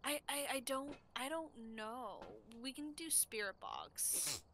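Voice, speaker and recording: A woman's voice: high-pitched, sing-song, slow, noisy background. A woman chatting casually during a co-op game, explaining tools to a friend in a helpful way. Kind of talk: casual co-op gaming chat.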